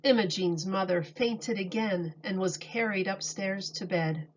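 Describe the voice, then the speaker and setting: A woman reading a picture book aloud to children like a teacher, deliberate and expressive. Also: mid-range voice, a little hiss or hum. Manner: deliberate, expressive, explains words for young children. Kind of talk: read-aloud storybook narration. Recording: dull lo-fi recording.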